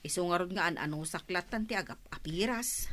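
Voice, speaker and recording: A woman's voice: lowish voice, a little fast, fairly bright tone, dry recording. A woman playing a chatty, gossipy village neighbour in a radio drama. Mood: casual complaining.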